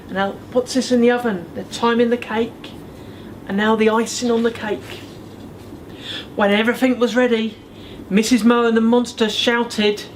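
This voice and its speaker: Male author, expressive children's storybook reading, faint background noise. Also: extremely high pitch. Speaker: author reading his picture book.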